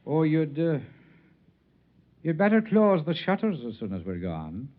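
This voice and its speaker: An eloquent mutant outcast in an old radio play, gently defiant and theatrical. His fairly high male voice swoops widely through poetic speeches, on a muffled vintage recording. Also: huge pitch swings, unhurried. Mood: calm, knowing. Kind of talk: 1950s radio drama acting.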